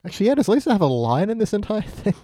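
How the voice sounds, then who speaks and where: A man's voice: high-pitched, big pitch swings, racing, mellow, no room sound, studio quality. A man chatting casually as a guest on a TV review podcast. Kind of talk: casual TV episode review chat.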